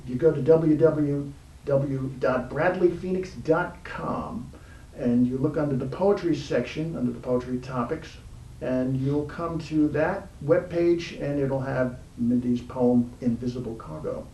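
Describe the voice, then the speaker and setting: A man hosting a casual, unscripted interview in a halting, informal way. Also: medium pitch, dark tone. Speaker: interview host. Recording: echoey, distant mic, background room noise.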